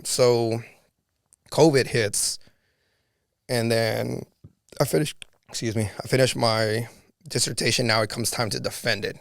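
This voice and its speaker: A man with a raspy voice, a podcast guest telling his life story in an earnest, reflective way. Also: medium pitch, no echo, clean studio sound. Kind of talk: casual storytelling conversation.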